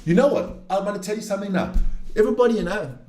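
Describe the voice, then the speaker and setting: A man's voice: high voice, racing, a little mellow. A man of around fifty, talking off the cuff on a sports livestream in a blunt, opinionated way, often swearing and tagging sentences with "man". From South Africa, later Switzerland. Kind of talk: casual off-the-cuff livestream talk with some race commentary. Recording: roomy, echoing room sound.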